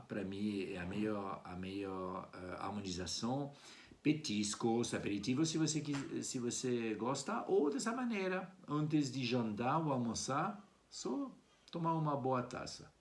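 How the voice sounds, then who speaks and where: A man from France with a French accent, a native French speaker, giving an informal wine tasting presentation with somewhat hesitant phrasing. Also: fairly low pitch. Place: at home indoors.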